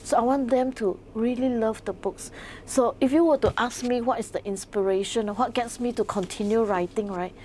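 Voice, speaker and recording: A woman's voice: a little high, moderate pace, hardly any pauses, warm tone. A woman who speaks Mandarin as her first language talks about her children's books in an interview. She is an English teacher, and she speaks earnestly with many restarts and "you know"s. Faint room noise sits behind her voice. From Sarawak, Malaysia. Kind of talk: interview guest.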